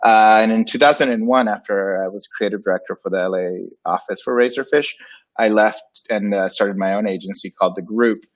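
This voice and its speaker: A man in his forties, a native Spanish speaker of Puerto Rican and Dominican background, telling his career story as an interview guest in a relaxed, playful way. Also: lowish voice, fairly quick. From Puerto Rico and Dominican Republic. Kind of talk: casual interview. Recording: dull lo-fi sound.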